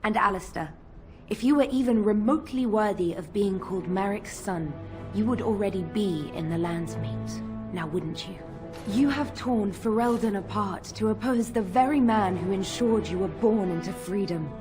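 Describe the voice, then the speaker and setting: A woman's voice: medium pitch, moderate pace, close to an everyday voice. A woman playing a stern, loyal knight in a fantasy game, speaking in a formal, defiant way. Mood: stern defiance. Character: stern, loyal female knight defending her lord. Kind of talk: dramatic fantasy game dialogue. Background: loud music.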